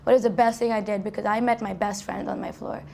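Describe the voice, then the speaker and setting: A woman's voice: medium pitch, fairly even intonation, fast, gravelly, a little mellow, a little hiss or hum. A young woman who grew up in Saudi Arabia, answering interview questions in a chatty way, full of restarts and "like" fillers, in a university dorm. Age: young adult. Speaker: college student guest.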